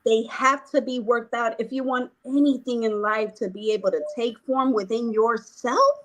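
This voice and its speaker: A woman from Puerto Rico telling her life story in a conversation, earnest and hesitant, with frequent pauses. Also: fairly high pitch. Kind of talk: personal testimony in conversation.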